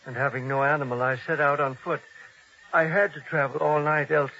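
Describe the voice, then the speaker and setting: An actor playing a poor, timid traveler, anxious, stammering and submissive, in an old-time radio drama. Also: male voice, a little high, fairly even intonation, brisk, bright, forceful tone. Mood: frightened, pleading. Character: timid, pleading poor male traveler on foot. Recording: noisy, dull old-time radio recording.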